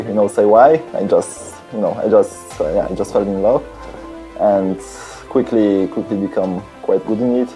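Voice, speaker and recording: A man in his twenties, a chess player telling in a relaxed, chatty way how his career began. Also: on the low side, low-quality recording. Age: 20s. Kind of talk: documentary interview.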